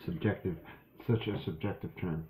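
Low-voiced young man, echoey bathroom. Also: moderate pace, noisy background. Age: young adult. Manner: rambling, with many fillers and restarts. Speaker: shaving vlogger. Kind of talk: casual talk to camera. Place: small home bathroom. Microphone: webcam at arm's length. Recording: echoey small room.

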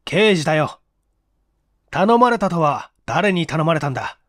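A performer voicing a gruff male police detective in a mystery audiobook, curt and scolding. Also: mid-range voice, lively intonation, measured pace, a bit bright, no room sound, studio quality.

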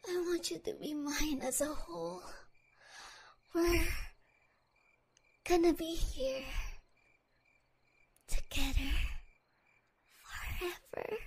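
A woman voicing an obsessive, possessive young woman in an audio roleplay, talking in soft whispers with giggles. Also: very high-pitched, gravelly, a little hiss or hum, exaggerated voice.

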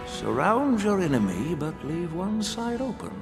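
An actor playing a calm, measured old man, an elder of a video game city. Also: high voice, gravelly, in a large hall, over music, full character voice. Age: older. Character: calm old male elder in a straw hat.

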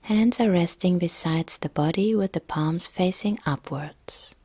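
A woman yoga nidra instructor narrating a guided relaxation meditation in a calm, soft, gentle, breathy way. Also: lowish voice, unhurried, dry recording.

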